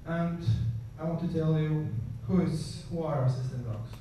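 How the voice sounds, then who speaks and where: A man with a Croatian accent presents to a conference in English, his second language. His delivery is hesitant, full of fillers and restarts, in a somewhat high voice. He sounds far from the microphone in a very echoey hall, with steady background noise. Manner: halting, many fillers and restarts.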